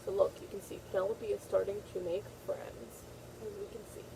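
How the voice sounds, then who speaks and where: A woman's voice: medium pitch, little pitch movement, fairly quick, gravelly, noisy background. A woman teacher reading a picture book aloud to young children, repeating phrases and checking in with simple questions.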